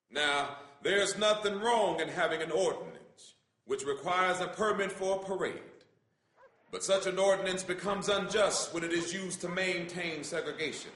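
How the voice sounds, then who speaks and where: A man gives a formal, measured reading of a written letter as solemn, emphatic oratory. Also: high-pitched, fairly bright tone. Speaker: reader. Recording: roomy, echoing sound.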